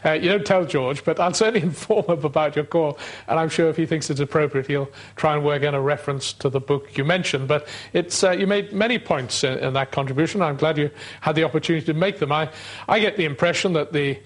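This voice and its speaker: Man, call-in radio host, somewhat high voice, relaxed, hesitant fillers. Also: fast talker. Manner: relaxed, self-deprecating, fillers. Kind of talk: live call-in talk show.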